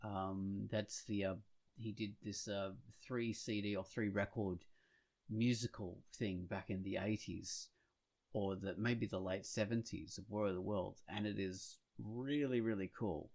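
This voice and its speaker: Low-pitched man chatting casually. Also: fairly even intonation, unhurried, a little mellow. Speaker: podcast host and comic artist. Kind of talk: casual podcast chat.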